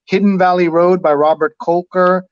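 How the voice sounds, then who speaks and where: A man's voice: high voice, steady tone, normal speed, muffled recording. A man hosting a live read-along, chatting enthusiastically and hopping between asides as he reads out and comments. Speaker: host of a live newspaper read-along show.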